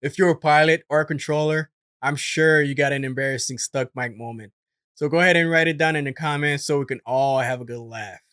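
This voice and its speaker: A man's voice: fairly high pitch, a little fast, a bit bright, clean tone, no room sound. Casual man explaining in a dry room. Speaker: host, former military air traffic controller.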